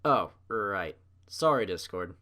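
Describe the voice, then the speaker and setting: A man narrating a fan fiction story in an animated storytelling style. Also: low-pitched, slow.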